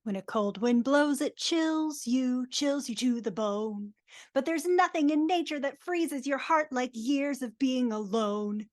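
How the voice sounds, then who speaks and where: A woman playing gossipy townsfolk, reciting rhyming lyrics in a deliberate rhythm during a live reading. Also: high voice. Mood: scornful, gossipy. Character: gossipy townsfolk chorus mocking a miser. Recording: online video call track.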